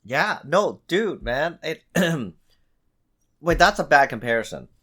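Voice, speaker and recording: A man with a lively, swinging pitch argues his case in a casual podcast chat. He is emphatic and insistent, restarting and repeating phrases as he pushes his point. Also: slow. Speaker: podcast co-host.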